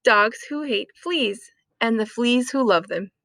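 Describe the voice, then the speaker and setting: A woman reading a children's story aloud expressively, with deliberate dramatic emphasis. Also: a little high, expressive, fairly bright tone, dry recording, studio quality. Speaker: storytime reader.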